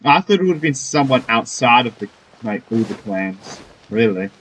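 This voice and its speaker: A man's voice: mid-range voice, animated, normal speed, fairly bright tone, slight background noise, low-quality recording. A man giving casual gameplay commentary in an informal, rambling way. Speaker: gamer, let's play host.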